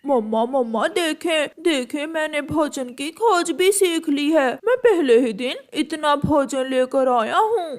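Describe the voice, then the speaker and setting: A woman's voice: high-pitched, lively intonation, a little slow, few pauses. A woman voicing a naughty, whiny and cheeky young boy crow in a cartoon story, with childlike, petulant repetition. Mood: proud, excited. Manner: childlike, repeats words petulantly.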